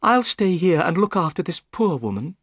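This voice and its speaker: A reader voicing a composed young lady who speaks gently and kindly. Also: mid-range voice, big pitch swings, moderate pace, no room sound, studio quality, pitched above the performer's own voice. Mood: calm.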